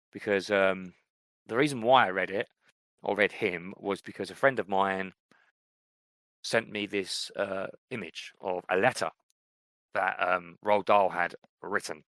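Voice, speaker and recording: Low-voiced guy rambling about books on a podcast, dry close sound. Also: fairly bright tone, no echo. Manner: thoughtful, rambling with fillers and restarts. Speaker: podcast co-host. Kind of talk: casual chat about books and fiction.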